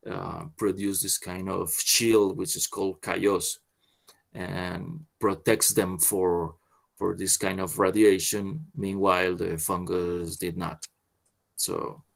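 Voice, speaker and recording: Slow, gravelly-voiced male lecturer. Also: low voice. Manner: halting, searching for words. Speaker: guest lecturer. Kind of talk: lecture wrap-up and thanks.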